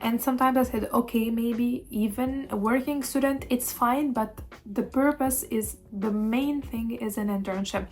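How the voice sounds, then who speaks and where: A young woman, telling a casual storytime vlog with many pauses and fillers. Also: fairly high pitch, darkish tone. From Tunisia. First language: Arabic. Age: 20s. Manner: hesitant, many fillers and restarts. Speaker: vlogger.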